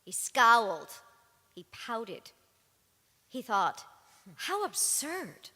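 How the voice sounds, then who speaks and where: Emphatic woman reciting slowly with long reverb. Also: a little high, big pitch swings, bright, forceful tone. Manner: emphatic, deliberate phrasing. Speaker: reader in a dramatic reading. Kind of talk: dramatic spoken word recitation. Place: large reverberant temple hall.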